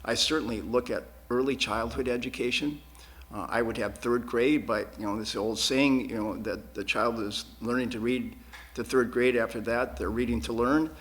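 A man's voice: medium pitch, fairly even intonation, normal speed, a bit bright. A man speaking as a school board candidate at a forum, earnest and emphatic, repeating words to drive points home. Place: public access TV studio.

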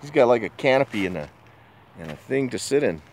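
A man's voice: mid-range voice, sing-song, fairly quick, a little hiss or hum. A man chatting casually outdoors on a snowy mountain roadside, explaining what he sees as he films. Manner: enthusiastic, explaining. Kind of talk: casual chat.

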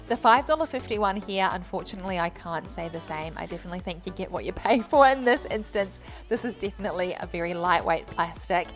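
A woman's voice: lowish voice, quick pace, hardly any pauses, soft background music. A young woman vlogger narrating a shop-with-me voice-over in a chatty, gushing way.

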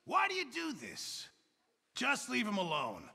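An actor playing a gruff, fretful middle-aged camp cook in a Western video game, exasperated and husky. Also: male voice, very high voice, unhurried, big-room sound, heavily acted. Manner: exasperated, excitable, swinging from mutters to shouts. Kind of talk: video game ambient character barks.

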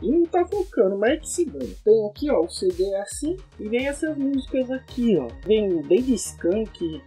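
A man chats casually and slangily to camera as a vlog host. His voice is mellow and a little husky, close on a studio mic in a shelf-lined room. Faint music plays in the background. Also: sits high. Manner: chatty and slangy, talking straight to camera. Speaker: host of a CD-collecting channel. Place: indoor room lined with shelves of discs. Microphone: large studio mic in front of the face.

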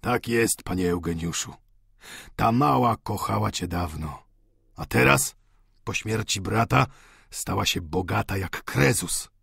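Man playing a gravelly, husky doting old father. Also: fairly low pitch, expressive, a little slow, a bit bright, dry recording, studio quality, exaggerated voice. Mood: happy, fervent. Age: older. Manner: effusive, pleading, sentimental. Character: doting, tearful old father, fervently devoted to his daughter.

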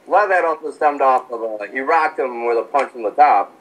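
A man co-hosting a podcast, giving quick, blunt, decisive opinions in casual chat. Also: mid-range voice, crowd noise, rough recording. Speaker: podcast co-host.

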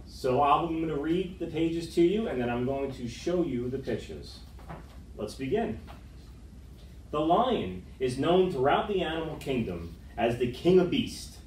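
A middle-aged man reads a picture book to children in a fairly high, very lively voice. His storytelling is dramatic and expressive. The sound is roomy, picked up from a distant camera microphone. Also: slight background noise. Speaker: storytime reader. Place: school room with wood-panelled walls, indoors. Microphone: far camera microphone.

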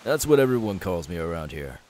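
Casting: young pirate male performer, low-pitched, easygoing and reassuring, for intimate ASMR roleplay. Light hiss on the track. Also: sing-song, a little fast, darkish tone. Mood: gentle, curious. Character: easygoing young pirate man, kindly and self-assured. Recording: faint background hiss.

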